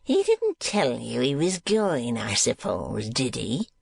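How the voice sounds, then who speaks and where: A man voicing a cruel, blustering middle-aged schoolmaster who sneers and bullies in a slow, menacing way. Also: medium pitch, expressive, creaky, a bit bright, full character voice. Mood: sneering. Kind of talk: audiobook character voice.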